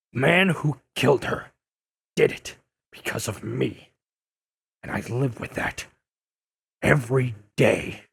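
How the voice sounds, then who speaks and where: Man playing a gravelly, embittered older newspaperman. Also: medium pitch, no echo, studio quality, heavily acted. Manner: bitter, halting, emphatic. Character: embittered, grieving older newspaperman, accusing and broken. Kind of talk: dramatic audio drama acting.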